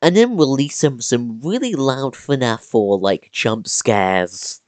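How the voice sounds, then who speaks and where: Animated video-essay narrator, very varied pitch. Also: slow pace, no echo. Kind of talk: scripted narration with casual asides.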